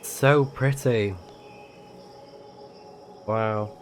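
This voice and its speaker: A man's voice: on the low side, steady tone, drawn-out words, a little hiss or hum. A man livestreaming a video game, giving casual, easygoing commentary in short reactive exclamations.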